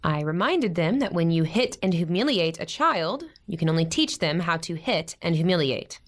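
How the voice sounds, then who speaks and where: A woman's voice: lowish voice, sing-song, normal speed. An actress playing a firm, principled middle-aged mother in a modern audio drama, articulate, authoritative and dry. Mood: righteous, composed.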